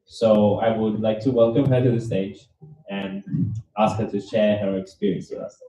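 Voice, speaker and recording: A man hosting a university pitch event, thanking sponsors and introducing a speaker, with many fillers and restarts. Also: moderate pace, mellow. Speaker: event host. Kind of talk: live event emcee speech. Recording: roomy, distant hall sound with faint noise.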